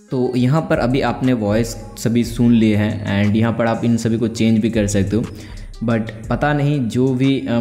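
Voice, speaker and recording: Low, mellow young man. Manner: informal, conversational, explains step by step. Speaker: tech video host. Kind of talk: casual tutorial voice-over. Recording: echoey room with faint music. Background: faint music.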